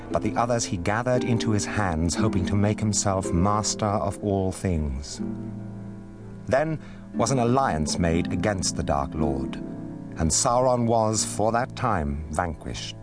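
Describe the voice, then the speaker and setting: A man narrating a fantasy radio drama as a grave, measured storyteller of ancient history. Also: fairly low pitch, lively intonation. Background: loud music.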